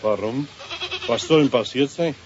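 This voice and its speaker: A man's voice: mid-range voice, moderate pace, close to an everyday voice. A man playing a curt, suspicious, unhelpful zookeeper in a children's radio play. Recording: dull, noisy 1970s radio recording.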